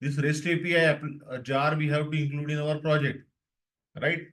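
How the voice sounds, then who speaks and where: A man's voice: on the high side, level tone, moderate pace, fairly bright tone. A young man with an Indian English accent teaching a live online tech lesson, repeating himself and often checking that students follow. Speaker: DevOps instructor.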